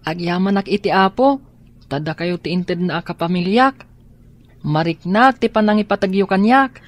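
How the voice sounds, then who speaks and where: A woman playing a gentle, grateful young blind boy in a Filipino radio drama. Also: on the low side, normal speed, close to an everyday voice.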